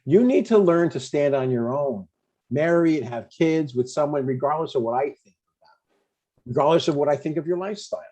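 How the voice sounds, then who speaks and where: An older man with a husky voice playing a blunt, easily annoyed but caring dad in an informal comedy table read. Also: a little high, lively intonation, fairly quick, warm tone. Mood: stern, caring.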